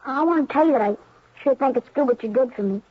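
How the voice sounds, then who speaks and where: An actor playing an earnest, grateful young boy in 1950s radio drama, with a husky American voice and halting, plain-spoken delivery. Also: sits high. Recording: dull old radio recording.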